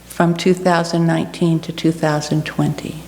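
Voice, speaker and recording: A woman giving an earnest, deliberate personal reflection to a church congregation. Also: low voice, flat delivery, darkish tone. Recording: echoey hall with clear background noise.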